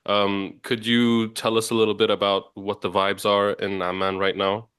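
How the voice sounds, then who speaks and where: A man hosts a casual news podcast, stumbling through his sentences with plenty of uhs and restarts. His voice is smooth and a bit low, recorded dry and close to the mic. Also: flat delivery, normal speed, a bit bright, no room sound. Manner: hesitant, many uhs and restarts. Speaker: podcast host.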